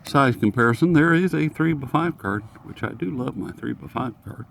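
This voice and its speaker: A man's voice: medium pitch, expressive, normal speed, vocal fry, a little hiss or hum. A man hosting a casual, unscripted radio review in a folksy, jokey, rambling manner. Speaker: host of radio review videos.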